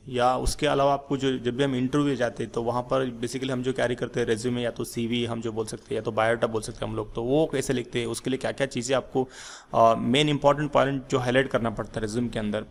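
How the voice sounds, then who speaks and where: Gravelly man teaching a lesson. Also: medium pitch. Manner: rambling with many fillers and restarts. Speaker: English teacher. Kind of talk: slide-based lesson.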